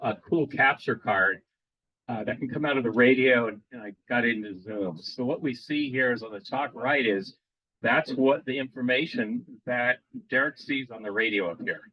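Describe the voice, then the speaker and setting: A man walks a radio club meeting through a live demo in a casual, halting way, stopping often to restart his thought. His voice sits at an ordinary middle pitch. The sound is dry and a little dull, like meeting-room audio. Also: sharp tone, no echo.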